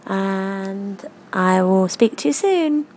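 Female caller, British, unhurried, casual message, faint background noise. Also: mid-range voice, animated, darkish tone. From London, England. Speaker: podcast caller.

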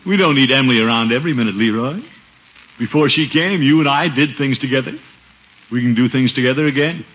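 An actor playing a pompous, needy middle-aged uncle in a theatrical 1950s radio comedy style. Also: male voice, mid-range voice, brisk, fairly bright tone, close to an everyday voice. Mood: eager, coaxing. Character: blustering, needy uncle eager to win back his nephew. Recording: dull, hissy 1950s radio recording.

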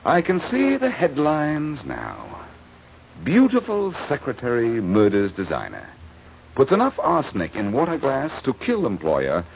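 An actor playing a cocky, quick-witted amateur detective in a 1940s radio crime drama, wisecracking in emphatic slang. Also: male voice, mid-range voice, huge pitch swings, vocal fry, heavily acted. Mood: triumphant. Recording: muffled, hissy old radio recording.

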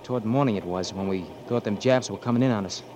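A man's voice: fairly low pitch, quick pace, darkish tone, a little hiss or hum, close to an everyday voice. An actor playing a loyal, blunt marine buddy who confronts his friend, in 1940s radio acting. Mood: confronting.

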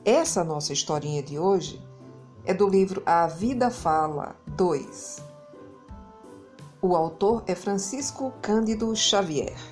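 A Brazilian woman narrating a children's story in an expressive, dramatic way, leaning on key words. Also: lowish voice, slow pace, faint music behind. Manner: dramatic, emphasizes and repeats words. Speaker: children's storyteller, podcast host.

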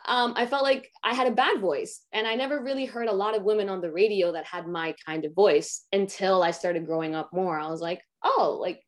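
A young woman, an actress, with a raspy, low voice, talking candidly and reflectively in a casual interview, with many "like" fillers. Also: fairly even intonation, a little fast, few pauses. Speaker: actress guest.

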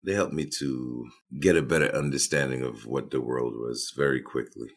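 A man, speaking in an extremely deep voice. He answers as an interview guest, thoughtful and a little halting, restarting his sentences with repeated words. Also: steady tone, husky. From Trinidad. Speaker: boom operator and filmmaker.

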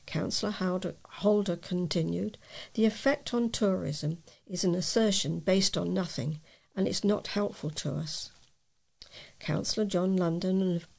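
A woman's voice: medium pitch, creaky, a little mellow. A woman volunteer newsreader reading local news aloud in an informal, amateur way.

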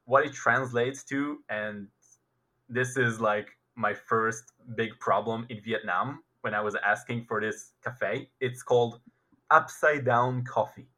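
A man's voice: mid-range voice, slow pace, pushed and bright. A young Israeli man speaking English with an Israeli accent, a Hebrew native, chatting playfully and acting out dramatic quotes. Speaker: guest teaching slang. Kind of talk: casual chat.

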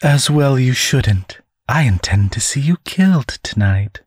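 Man acting a young villainous witch woman, storybook narration, high-pitched breathy voice, slow taunting drawl, swooping intonation. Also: lively intonation, no room sound, clean studio sound. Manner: haughty, drawling, mocking.

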